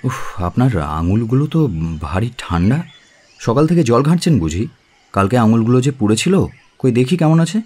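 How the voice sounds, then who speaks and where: A man's voice: mid-range voice, very animated, moderate pace, darkish tone. A man playing an earnest, helpful younger brother, casual and obliging, in a Bengali audio drama. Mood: concerned.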